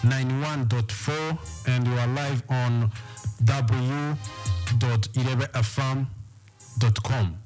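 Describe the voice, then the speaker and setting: A man hosting a radio interview, speaking in halting English and often repeating words. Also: mid-range voice, steady tone, a little mellow. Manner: halting, repetitive phrasing in English. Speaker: radio host interviewing a musician. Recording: music playing behind the voice.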